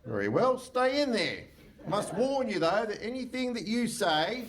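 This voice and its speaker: A man's voice: high voice, sing-song, a little slow. A man playing an officious but daft, bumbling police constable in a comic radio play. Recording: slightly noisy live hall recording.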